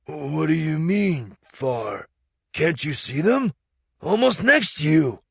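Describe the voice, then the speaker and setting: A man reading a storybook, voicing an excitable, anxious male squire in a high, husky put-on voice with eager, shouted pleas. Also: slow, no echo, studio quality, exaggerated voice. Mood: puzzled.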